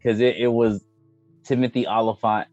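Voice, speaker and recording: A man chatting casually as a gaming show host, slangy and opinionated, given to excitable interjections. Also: medium pitch, moderate pace. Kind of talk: casual opinionated chat about video game movies. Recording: dull, echoey room, faint music behind.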